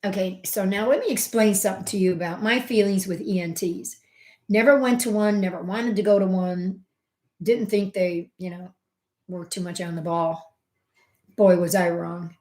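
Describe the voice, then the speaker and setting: A middle-aged woman, a homeopath, talks to her viewers in a loose, chatty way, full of fillers and side remarks. She jumps between loud, emphatic bursts and quiet asides at a mid pitch. Her voice rings a little in a roomy space. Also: moderate pace. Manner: expressive, swings from loud emphasis to soft asides. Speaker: homeopath hosting a health channel. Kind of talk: casual personal storytelling to camera. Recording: roomy echo.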